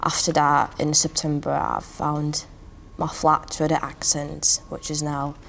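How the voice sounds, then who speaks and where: A woman's voice: deep voice, monotone, unhurried, vocal fry, fairly bright tone. A woman from Latvia with a Latvian accent, chatting hesitantly in a casual interview over faint background noise. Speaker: housing tenant in a peer interview.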